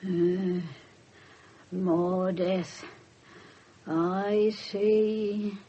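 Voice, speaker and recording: A woman playing an eerie spirit medium in a trance, halting and chanting, in a radio play. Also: medium pitch, little pitch movement, dark tone. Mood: entranced, eerie. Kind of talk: 1970s radio drama acting. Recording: muffled, noisy old radio recording.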